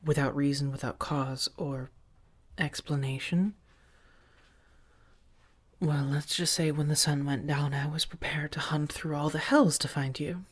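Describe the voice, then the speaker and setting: A woman playing a protective, affectionate female vampire roommate in a soft-spoken ASMR roleplay, breathy and often near a whisper, with halting, earnest delivery. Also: deep voice, fairly even intonation. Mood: apologetic, earnest.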